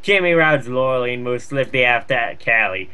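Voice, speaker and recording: Bright-voiced, sarcastic man reading aloud. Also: mid-range voice, expressive, moderate pace, smooth. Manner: profane, sarcastic. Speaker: fan fiction reader and commentator. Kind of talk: mocking read-aloud with riffing commentary.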